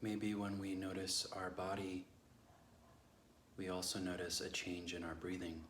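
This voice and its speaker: A man leading a guided meditation in a measured, unhurried way with long pauses between phrases. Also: deep voice, completely flat delivery, a bit bright, echoey room, a little hiss or hum. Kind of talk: guided meditation, slow instruction.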